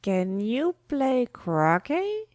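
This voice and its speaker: A shrill, furious queen barks orders in a storybook reading, put on by a male narrator. The voice sits very high and swings wildly in pitch, slow and drawn out, with a dry, close sound. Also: wildly swooping pitch, a little mellow, no room sound, heavily acted. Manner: shrill, screaming, imperious.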